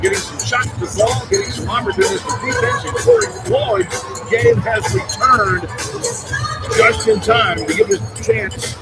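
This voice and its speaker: Male sports play-by-play announcer, high and lively delivery, basketball arena with a roaring crowd. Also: moderate pace, rough recording. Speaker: radio play-by-play commentator. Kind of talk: live basketball commentary. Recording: loud crowd and music behind commentary. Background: loud arena crowd and music.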